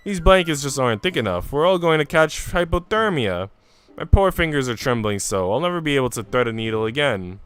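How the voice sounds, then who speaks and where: A man's voice: medium pitch, sing-song, a little fast, a bit bright, clear, resonant tone, voice pushed up. A man voicing a fussy, complaining maid in a visual novel, fretful and dramatic.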